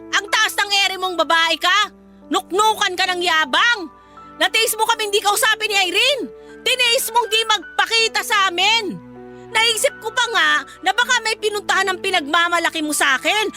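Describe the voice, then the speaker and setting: Filipino radio drama, bitter middle-aged mother: sharp, piercingly high, harsh, accusing, scornful. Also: full character voice. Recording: music behind the voice.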